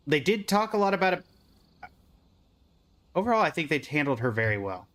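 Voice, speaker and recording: A man's voice: medium pitch, a bit bright. A man streaming a game with casual, musing commentary, thinking aloud as he plays.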